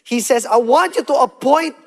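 A man preaching a sermon, emphatic and calling out to the congregation, swinging between punchy loud lines and hushed soft ones. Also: very high-pitched, moderate pace, gravelly. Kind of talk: sermon with call-and-response. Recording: long hall reverb.

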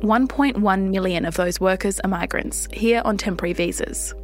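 A woman, hosting a news podcast and reading scripted narration in a measured, deliberate way. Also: medium pitch, faint music behind. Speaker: podcast host.

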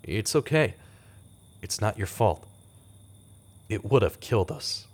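A man's voice: lowish voice, lively intonation, slow pace. An actor playing a guarded but compassionate man on the run in a radio drama, earnest and cautious. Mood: somber. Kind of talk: audio drama acting with first-person narration.

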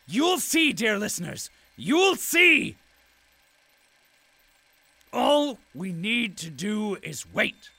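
A man playing a frantic, obsessive announcer in denial, creaky and theatrical, in an audio drama monologue. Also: sits high, big pitch swings, extremely slow, long pauses, pushed and bright, dry recording, heavily acted. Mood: desperate hope. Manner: desperate, theatrical, repetitive.

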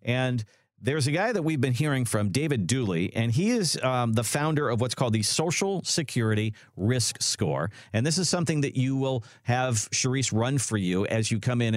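A man in his sixties hosting a casual financial radio show, chatty and lively. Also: medium pitch, fairly quick, no echo, studio quality. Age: 60s. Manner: lively, chatty, acts out his own thoughts. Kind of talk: casual radio talk and ad reads.

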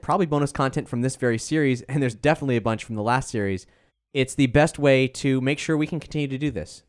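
A man's voice: mid-range voice, smooth. A man hosting a podcast, making casual announcements to listeners in a conversational way. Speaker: host and performer.

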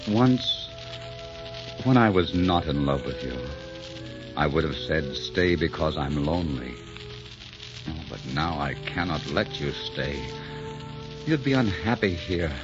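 An actor playing a passionate, brooding sheik with a temper in a 1940s radio romance, murmuring theatrically. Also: male voice, a bass voice, lively intonation, slow pace, pauses often, exaggerated voice. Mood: tender, regretful. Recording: muffled 1940s radio recording, music, hiss.

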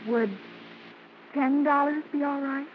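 A woman's voice: high-pitched, fairly even intonation, slow pace. An actress playing a shy, eager young chorus girl, timid and polite, in old-time radio style. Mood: nervous, hopeful. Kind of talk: 1940s-50s radio acting. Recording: dull old radio broadcast, light hiss.

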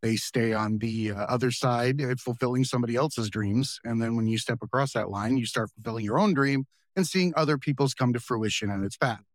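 A man hosting a podcast, talking casually and drawing out homey analogies, slowing down on the points he wants to stress. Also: medium pitch, no room sound, clean studio sound. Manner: folksy, uses homey analogies, slows for emphasis. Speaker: podcast host. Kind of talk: casual interview talk.

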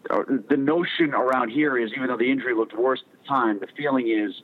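A man's voice: medium pitch, fast. A man in his forties with an American accent, a talkative sports journalist chatting casually as an interview guest. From Connecticut, United States. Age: 40s. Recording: tinny phone-like radio line.

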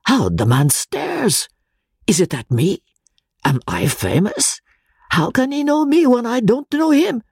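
Casting: flustered little Italian gentleman professor played by a woman reader, high, swooping voice, classic audiobook. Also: very animated, measured pace, slightly rough, dry recording, studio quality, heavily acted. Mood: puzzled. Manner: exclamatory, dramatic, flustered. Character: excitable, bewildered little Italian professor, a man. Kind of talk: dramatic audiobook reading.

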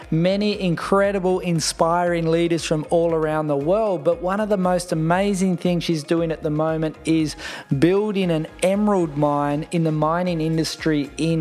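Man, podcast host, upbeat and enthusiastic, slightly high voice. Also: a little mellow. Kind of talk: podcast interview.

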